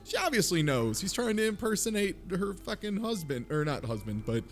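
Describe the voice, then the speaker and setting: A man's voice: high-pitched, sing-song, a little fast, soft background music. Sweary man in casual group discussion. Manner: sweary, acts out imagined quotes. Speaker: podcast panelist discussing a visual novel. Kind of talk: casual, speculative group chat.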